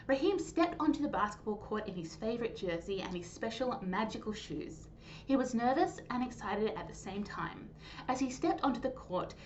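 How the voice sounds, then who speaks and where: A woman hosting a storytime, reading a children's story aloud in an animated, clearly phrased way. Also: a little high. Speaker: storytime host and reader. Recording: dull lo-fi sound, faint noise.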